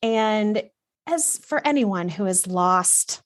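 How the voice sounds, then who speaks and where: A woman's voice: mid-range voice, animated, slow, no room sound, studio quality. Earnest, reflective middle-aged woman from Texas. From Texas, USA. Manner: reflective and earnest, with some fillers. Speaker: guest, wardrobe consultant. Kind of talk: casual conversational storytelling.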